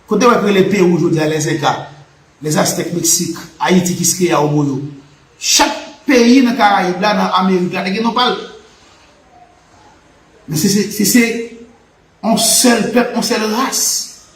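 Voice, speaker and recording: A man's voice: high-pitched, measured pace, long pauses, reverberant, poor audio. A Haitian man with a Haitian Creole accent, hosting a live political and history talk with a fervent, repetitive, preaching cadence. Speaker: channel host.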